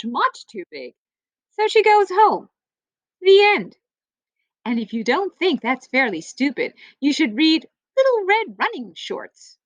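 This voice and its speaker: A woman reading a picture book aloud to children in an expressive way, speaking directly to her listeners. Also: fairly high pitch, no room sound.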